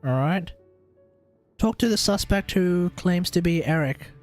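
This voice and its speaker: A woman's voice: fairly low pitch, unhurried, a little mellow, soft background music. A woman with an Australian accent giving casual live commentary as she streams a game.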